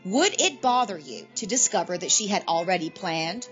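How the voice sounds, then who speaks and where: A woman playing a chatty, meddling middle-aged aunt who poses as a political expert in a comic audio drama, folksy and gently needling. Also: fairly low pitch, animated, moderate pace, muffled recording, over music, close to an everyday voice. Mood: insistent, teasing. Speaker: guest expert on satirical political show. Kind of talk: comedic audio drama dialogue.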